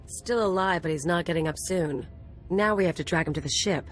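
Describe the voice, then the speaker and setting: An actress playing a tough, sardonic companion in a game cutscene, dry and sarcastic. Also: female voice, fairly low pitch, brisk, soft background music, natural voice. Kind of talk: video game cutscene acting.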